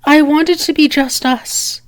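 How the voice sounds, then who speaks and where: A woman playing a lovesick demon in an intimate ASMR roleplay, pleading earnestly and haltingly with her angel lover. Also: high voice, fairly even intonation, no echo. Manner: earnest, halting, repeating herself in pleas.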